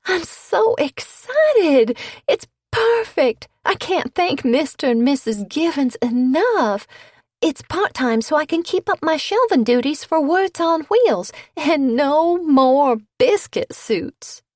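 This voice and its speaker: Bubbly young woman, read by a woman narrator, gushing and squealing high, dry close mic. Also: takes it slowly, no room sound, exaggerated voice. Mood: excited. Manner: gushing, breathless excitement.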